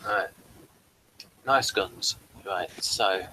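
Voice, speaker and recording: A man hosting a TV review show in casual, unscripted chat, with a rambling, halting delivery. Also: deep voice, pushed and bright, slight background noise, low-quality recording.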